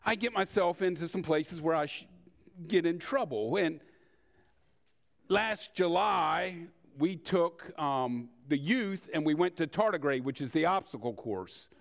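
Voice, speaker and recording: An older man preaching in a conversational, storytelling way, with rhetorical questions and deliberate pauses. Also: fairly high pitch, lively intonation, vocal fry. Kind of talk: sermon with personal anecdotes.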